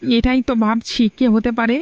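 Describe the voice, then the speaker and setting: An actor voicing a village crook's eager, fawning male henchman who repeats words excitedly in a cartoon. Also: mid-range voice, moderate pace, no echo, lo-fi sound, lightly acted. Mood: pondering. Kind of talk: cartoon voice acting in a folk-tale drama.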